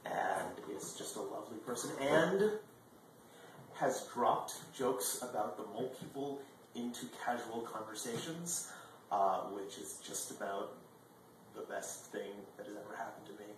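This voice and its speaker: A man's voice: on the low side, expressive, unhurried. A man in his twenties hosting a video blog, chatting casually and animatedly to the camera, with sudden jumps in volume. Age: 20s. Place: indoor dining room at a table. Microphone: far camera microphone. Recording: echoey room, distant mic, faint noise.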